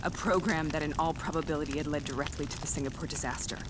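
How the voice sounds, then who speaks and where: A woman playing a haunted, earnest soldier who narrates gravely in a video game cutscene. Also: low-pitched, fairly even intonation, noisy background, close to an everyday voice. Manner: measured, grave storytelling. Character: haunted, earnest female soldier recounting her memories. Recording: voice under loud film-like music.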